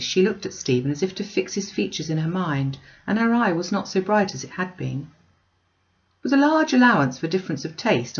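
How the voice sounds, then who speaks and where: A woman reading a classic novel aloud as expressive storybook narration. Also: fairly low pitch, muffled recording. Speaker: audiobook reader.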